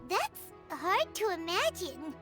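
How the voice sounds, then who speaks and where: A tiny chirpy fairy sidekick, excitable and squeaky, her pitch leaping way up and swooping around. She talks slowly and bouncily, and loud game music plays under her. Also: full character voice. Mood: curious. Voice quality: high squeaky childlike voice. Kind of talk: video game voice acting.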